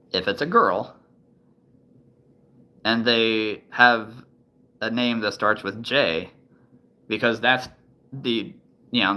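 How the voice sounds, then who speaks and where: A man's voice: fairly low pitch, sharp tone. A man in his thirties chatting casually to the camera, thinking aloud with many ums and restarts. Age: 30s. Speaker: vlogger.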